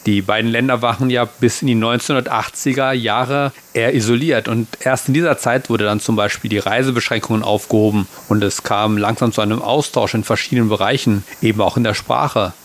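A man interviewing a guest, calmly setting out background before he asks his question. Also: mid-range voice, fairly quick, few pauses. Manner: calm, framing context before asking.

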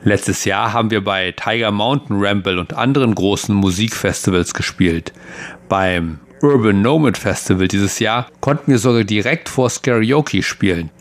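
A man reading a translated interview as a calm, even voice-over. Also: fairly low pitch, moderate pace.

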